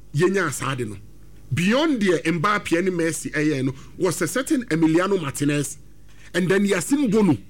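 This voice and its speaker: A man with a Ghanaian accent hosting a football talk segment, animated and switching between English and Twi. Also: a little high. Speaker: sports radio host.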